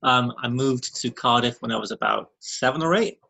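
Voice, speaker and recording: A man's voice: medium pitch, normal speed, gravelly, sharp tone. A man in his thirties, raised in Wales, chatting casually as a podcast guest, with many ums and easy laughs. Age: 30s. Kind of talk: casual interview chat.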